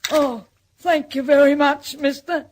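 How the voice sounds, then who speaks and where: A scatterbrained older Australian babysitter in a radio play. Her voice is high and slow. She rambles on in a folksy, naive, dithering way. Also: steady tone, slight background noise. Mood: flustered, chatty. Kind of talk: old-time radio drama acting.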